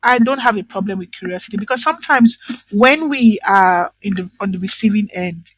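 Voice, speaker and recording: A woman's voice: mid-range voice, fairly quick, dry recording, low-quality recording. A woman from Nigeria in casual conversation, talking emphatically and often checking in with "right?" and "you know". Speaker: guest.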